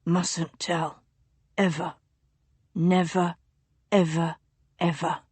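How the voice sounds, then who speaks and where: A woman putting on a low murmuring feverish duke. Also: flat delivery, extremely slow, husky, no echo, exaggerated voice. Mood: hushed delirious. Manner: low hushed murmuring, drifting in and out. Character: feverish delirious young male duke, murmuring.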